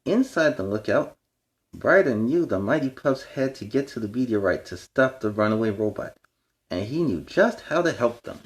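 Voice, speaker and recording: A man with a medium-pitched voice hosts story time for kids. His intonation is very lively and varied. He sounds playful, like a superhero talking to young fans, and adds little explanations as he goes. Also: normal speed. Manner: explains words and lessons to young listeners. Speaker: children's story time host. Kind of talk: animated children's storybook read-aloud with chatty asides.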